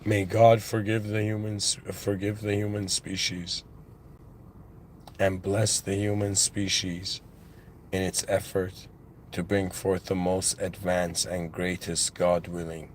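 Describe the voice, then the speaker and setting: A man with an accent in English, giving a reflective spiritual monologue as a channel host, halting and musing, with restarts and devout phrases. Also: low-pitched, flat delivery, slight background noise. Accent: non-native English.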